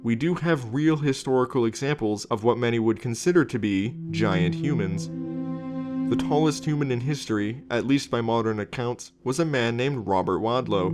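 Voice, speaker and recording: A man's voice: medium pitch, normal speed. A man hosting and narrating a scripted informational documentary. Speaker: host and narrator. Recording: music under the voice. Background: background music.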